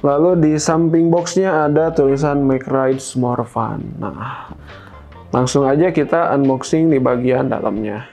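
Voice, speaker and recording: A man presents a product in a casual unboxing style. His voice sits at a medium pitch, and he explains things informally, step by step. Music plays along behind him. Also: unhurried, mellow. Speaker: product review host.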